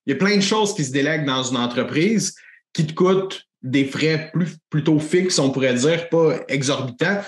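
Québécois man in a roomy echo. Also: on the high side. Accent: Québécois French. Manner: explanatory, lively, anglicisms. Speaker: podcast co-host. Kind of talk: casual business chat.

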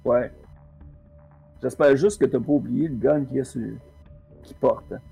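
Brief: husky, gravelly man running a tabletop game, calm describer, dark tone. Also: mid-range voice, unhurried, soft background music. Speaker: game master.

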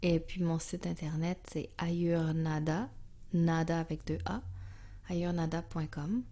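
A woman with a Quebec French accent speaking as an interview guest, calm and reflective, searching for her words. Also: low voice, unhurried. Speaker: interview guest, yoga and music teacher. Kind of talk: reflective, casual interview talk.

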